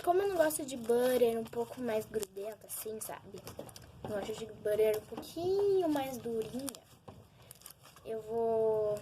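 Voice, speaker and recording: A young Brazilian girl with a high voice talks casually to her viewers. She is informal and chatty, like a hobby vlogger. The homemade recording is clearly noisy, with small clicks. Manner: informal, giggly, addresses viewers often. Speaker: vlogger showing slime. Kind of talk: casual chat to camera with hands-on demonstration.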